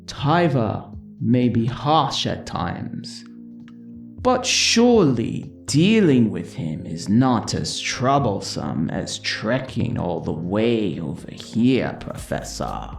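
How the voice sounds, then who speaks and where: An actor voices an ancient, massive dragoness in a fantasy audio story. She speaks slowly and formally, with wide swings of pitch and a stern, cautioning manner. The voice echoes, with music underneath. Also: big pitch swings, creaky, exaggerated voice. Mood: wry reproach. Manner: slow, deliberate, formal, admonishing. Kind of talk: scripted audio story acting. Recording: echoing voice over music.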